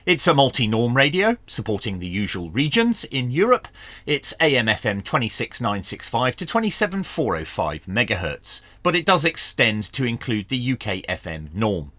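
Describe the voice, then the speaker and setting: A man's voice: medium pitch, wildly swooping pitch, moderate pace, pushed and bright, no echo. A man narrating a product review to viewers in a matter-of-fact, explanatory way. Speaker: reviewer and channel host.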